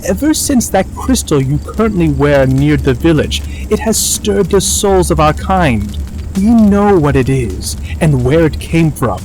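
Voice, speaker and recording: A man acts as a spectral young dragon, lofty and disdainful in a fantasy audio drama. His voice sits fairly high for a man and his phrasing is formal and measured, over a noisy background. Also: sing-song, a little mellow. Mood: stern, disdainful.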